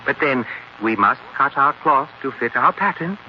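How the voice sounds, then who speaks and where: An actor playing a genteel, coldly menacing murderer in a formal, measured 1940s radio drama style. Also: male voice, medium pitch, lively intonation, natural voice. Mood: suavely evasive. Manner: measured, formal, mock-courteous. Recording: dull, very noisy 1940s radio recording.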